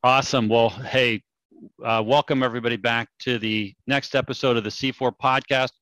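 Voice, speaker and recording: A man's voice: medium pitch, steady tone, moderate pace, fairly bright tone, dry recording. A man in his forties from California, hosting a podcast and explaining things in a casual, conversational way. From California, United States. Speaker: podcast host.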